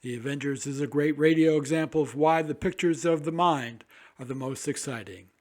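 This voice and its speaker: Male radio host, slightly high pitch, scripted introduction, theatrical announcer phrasing. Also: fairly quick, a little mellow.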